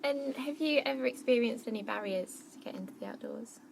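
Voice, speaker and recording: A woman's voice: fairly high pitch, fairly quick, vocal fry, noisy background. Chatty woman podcast host. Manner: chatty, uses filler "like". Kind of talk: casual podcast interview.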